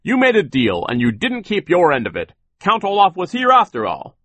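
A man voicing a stern, indignant, officious gentleman in a storybook, speaking in formal, emphatic declarations. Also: sits high, huge pitch swings, slow, no room sound, voice pushed up, full character voice.

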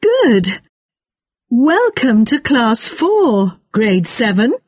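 A woman with a breathy voice reading lines of a textbook dialogue slowly and deliberately. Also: fairly high pitch, big pitch swings, darkish tone, no echo. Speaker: textbook audio reader.

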